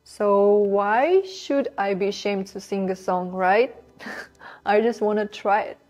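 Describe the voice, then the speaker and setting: A woman's voice: mid-range voice, slow pace, a little mellow, dull audio. A woman in her twenties speaking candidly in a studio interview. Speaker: singer interviewee. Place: indoor studio. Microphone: lapel mic.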